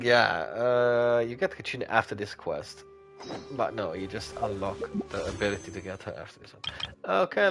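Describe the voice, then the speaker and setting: Animated man commentating on his game. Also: medium pitch. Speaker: game streamer. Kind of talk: casual gameplay commentary. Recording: game music behind the voice.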